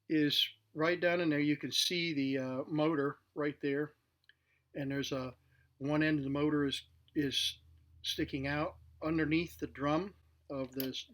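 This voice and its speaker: A man with a voice a little higher than average gives a casual, unscripted demonstration. He explains things plainly and somewhat hesitantly, as a hobbyist presenter showing equipment would. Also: steady tone, a little slow, a bit bright. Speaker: vintage tech demonstrator.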